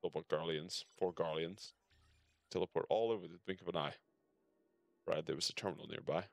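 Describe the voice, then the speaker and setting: Low-voiced man streaming a game. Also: fairly quick, gravelly, dry recording. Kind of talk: reading game dialogue aloud with casual reactions.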